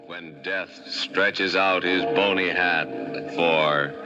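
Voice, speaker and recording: Ominous, very slow radio announcer. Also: male voice, sits low, loud music behind the voice, exaggerated voice. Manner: drawn-out, ominous. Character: ominous, sinister announcer of a murder mystery. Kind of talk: old-time radio horror announcing. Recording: muffled vintage radio, cavernous reverb.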